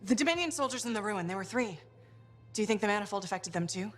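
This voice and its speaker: A woman's voice: mid-range voice, lively intonation, quick pace, soft background music, close to an everyday voice. An actress playing a level-headed young female mercenary hero in a video game, direct, businesslike and questioning. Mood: concerned. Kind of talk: natural cinematic video game acting.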